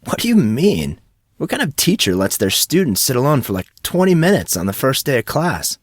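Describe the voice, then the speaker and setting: A man's voice: mid-range voice, big pitch swings, a little fast, slightly rough, dry recording, clean studio sound, voice pushed up. A man voicing a puzzled, indignant teenage schoolboy with a blunt, casual, complaining manner.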